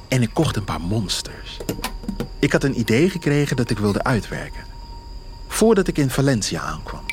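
A man narrates a first-person audio story at a middling pitch. His delivery is reflective and leaves pauses between phrases, and music plays clearly behind his voice.

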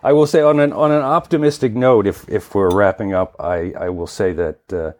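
Deep-voiced man, podcast co-host, anecdotal. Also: steady tone, fairly quick, a little mellow. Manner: storytelling, wraps up discussion. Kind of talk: casual podcast discussion.

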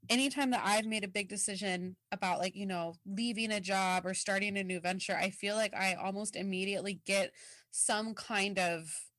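A woman's voice: mid-range voice, steady tone, normal speed, a bit bright, no room sound. A woman co-hosting a casual podcast, chatty and full of fillers, reading listener mail and giving advice. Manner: chatty, filler-heavy, laughs easily. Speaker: podcast co-host.

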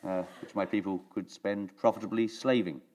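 Absurd supreme ruler played by a man: deadpan and halting comedy tyrant, live theatre recording with loud noise. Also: lowish voice, lightly acted. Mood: calmly deadpan. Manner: deadpan, halting, literal-minded. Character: absurd tyrant supreme commander of the world, bucket on head.

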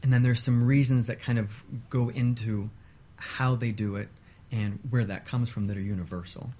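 A man speaking as an expert guest in a radio interview, explaining in a measured way with short repeated lists. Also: medium pitch, steady tone, dark tone.

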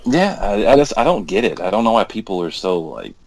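A man's voice: lowish voice, big pitch swings, a little fast, gravelly, slight background noise. A man chatting casually as a podcast panelist, emphatic and often repeating himself. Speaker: podcast panelist and hobby gamer. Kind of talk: casual chat.

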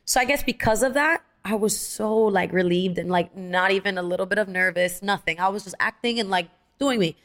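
A woman's voice: mid-range voice, brisk, hardly any pauses. A young adult woman, an actress, telling stories in a casual interview and acting out the lines of people she quotes. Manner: animated, acts out quoted dialogue, many fillers. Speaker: actress guest.

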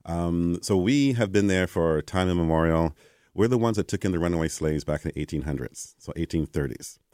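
Low-voiced man telling stories animatedly. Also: fairly quick, no echo, studio quality. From Canada. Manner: animated, acts out quoted exchanges. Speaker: guest. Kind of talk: conversational interview storytelling.

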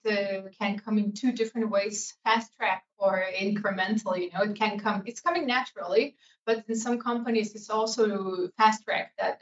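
A woman with a mid-range voice speaks as a panel guest, thinking aloud and pausing often to find the right words. The recording is slightly dull and lo-fi. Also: medium pitch. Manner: reflective, searching for words. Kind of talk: conversational panel discussion. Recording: dull lo-fi audio.

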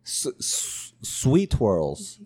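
A man's voice: fairly low pitch, huge pitch swings, very slow, darkish tone, breathy edge. A man from Taiwan, a comedian guest on a podcast, chatting in a goofy, self-mocking way. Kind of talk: casual podcast chat.

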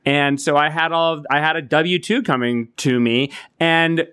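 A man's voice: on the high side, steady tone, normal speed, sharp tone, clear, resonant tone. A man co-hosting a comedy podcast, telling a story in a rambling, stumbling way. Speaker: podcast co-host. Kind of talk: casual comedic chat.

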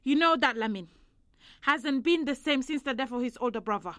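A woman's voice: high-pitched, expressive, fairly quick, fairly bright tone, no echo, clean studio sound. A woman playing an anguished, proud mother in an audio drama. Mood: defiant, angry. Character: anguished, proud Senegalese mother, defiant and worn down.

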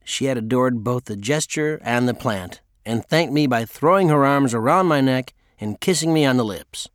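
A male audiobook narrator reads a memoir as scripted storytelling. His voice sits at a middle pitch, and the sound is very dry, with little room to it. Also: expressive, moderate pace, dry recording.

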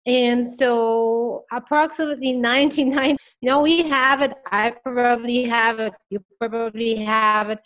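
A woman speaking as an expert guest on a podcast, explaining in a warm manner, her voice slightly scratchy, clearing her throat now and then. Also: on the high side, fairly even intonation, unhurried, hardly any pauses. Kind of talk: podcast interview.

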